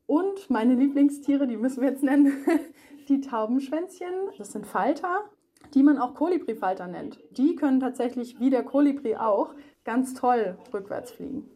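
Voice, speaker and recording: A woman's voice: sits high, normal speed, mellow. A woman animal researcher explaining things simply to children as an expert guest. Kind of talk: explanatory interview answers for children.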